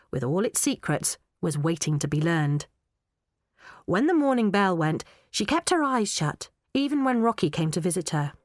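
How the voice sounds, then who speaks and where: A woman narrating a children's audiobook in a measured, even way. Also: fairly low pitch, dry recording, clean studio sound. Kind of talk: storybook narration.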